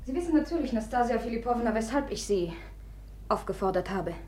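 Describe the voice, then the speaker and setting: A woman's voice: fairly high pitch, animated, fairly quick, a little mellow, close to an everyday voice. An actress playing a proud, commanding young woman. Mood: cold determined. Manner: commanding, cutting. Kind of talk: 1950s German radio play acting.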